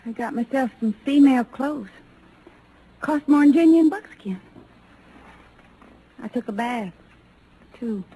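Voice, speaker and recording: A woman's voice: fairly high pitch, animated, a little slow, long pauses, dark tone, low-quality recording. An actress playing a prickly, proud young frontier woman with blunt rustic speech in an old-time radio Western. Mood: shy, awkward. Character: rough-edged, prickly young frontier cowgirl, shyly proud. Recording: vintage radio recording with faint noise.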